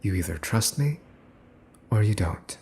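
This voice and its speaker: Guy acting as a cocky mafia boss son for asmr roleplay, lowish voice, real slow, teasing and threatening. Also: expressive, darkish tone, a little hiss or hum. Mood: teasing menace. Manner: teasing, smooth-talking, condescending, pausing for effect. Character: smug teasing mafia boss's son, possessive, coolly threatening. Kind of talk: ASMR boyfriend roleplay, scripted monologue to listener.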